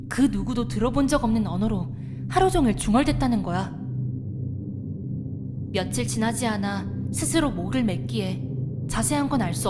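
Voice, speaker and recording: A woman playing a young woman in a visual novel, telling a ghost story in a measured, conversational way. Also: on the high side, natural voice. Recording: music behind the voice.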